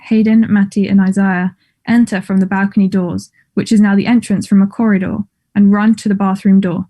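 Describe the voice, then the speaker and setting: Female narrator, mellow, medium-pitched, reads scripted stage directions.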